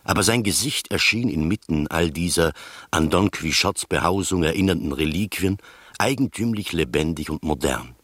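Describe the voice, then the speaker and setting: A man playing the reflective narrator of an eccentric mystery tale in a radio drama, measured and deliberate. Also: low-pitched, lively intonation, a bit bright, slightly rough, no room sound, studio quality, heavily acted. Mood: reflective, intrigued.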